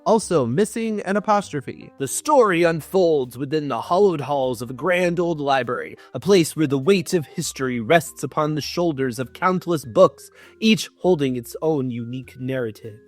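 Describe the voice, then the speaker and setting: A man with a somewhat high voice performs a theatrical reading as a comedy host. He shifts between mock-solemn narration and dry, sarcastic commentary. Music plays softly behind his voice. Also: lively intonation, moderate pace, hardly any pauses. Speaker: host, writing coach. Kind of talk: comedic dramatic book reading with dry asides.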